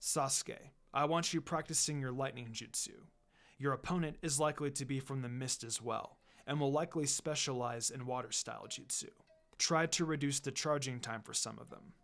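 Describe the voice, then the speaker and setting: A man voicing a calm, firm, encouraging adult ninja teacher with a measured, instructive delivery in a story reading. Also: medium pitch, creaky, pitched below the performer's own voice. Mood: firm, businesslike. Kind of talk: fan fiction reading with character voices. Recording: music behind the voice.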